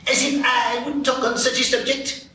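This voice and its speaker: A woman's voice: flat delivery, moderate pace, sharp tone, slight background noise. A woman voicing a touchy, haughty mouse who hates cats, in a live radio play. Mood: indignant. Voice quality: squeaky, haughty. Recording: roomy echo from far off.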